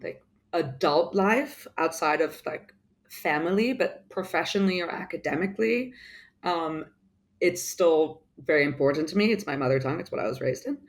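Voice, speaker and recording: A woman's voice: deep voice, animated, moderate pace. A young adult woman as an interview guest, talking in a thoughtful, casual way with many "like" and "um" fillers. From mainly the UK.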